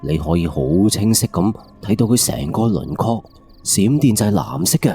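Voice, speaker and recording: A man's voice: on the low side, big pitch swings, moderate pace, creaky, dark tone, husky. A man with a Cantonese accent narrating a first-person ghost story in a colloquial, suspenseful way with rhetorical questions.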